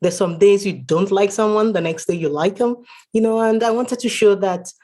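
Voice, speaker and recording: A woman's voice: mid-range voice, normal speed, a little mellow. A woman from Nigeria speaking as an author guest in a conversational interview, earnest and explanatory.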